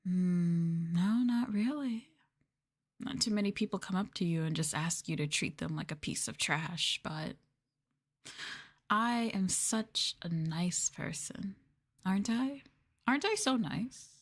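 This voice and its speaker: A woman streamer speaks at a very unhurried pace in a slightly low register. She plays sadistic for an ASMR roleplay, condescending and mocking toward her listeners. Key words are stretched out with playful, teasing emphasis. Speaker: VTuber streamer, host.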